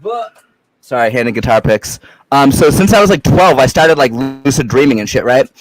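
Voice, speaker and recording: A man's voice: medium pitch, animated, moderate pace, a little hiss or hum, low-quality recording. A young adult man from Massachusetts, a podcast guest chatting casually in a loose, rambling way with frequent swearing and fillers. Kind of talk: casual chat.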